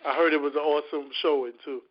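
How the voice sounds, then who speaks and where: A man's voice: fairly high pitch, flat delivery, fast, muffled recording. A man calling into a casual podcast, agreeable and mostly giving short replies. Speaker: caller. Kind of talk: casual call-in chat.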